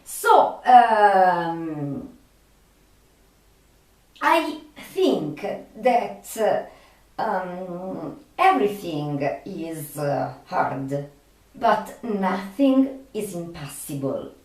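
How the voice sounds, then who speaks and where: Slow, halting woman in an echoey room. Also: fairly low pitch, sing-song, a little mellow. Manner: halting, with long searching pauses. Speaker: video creator and film fan. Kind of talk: unscripted personal monologue to viewers. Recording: echoey room, distant microphone.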